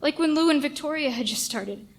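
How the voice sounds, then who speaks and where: A woman's voice: sits high, lively intonation, a little fast. A woman acting a remorseful, anxious male radio staffer who speaks hesitantly and earnestly in a radio play. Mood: anguished. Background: music.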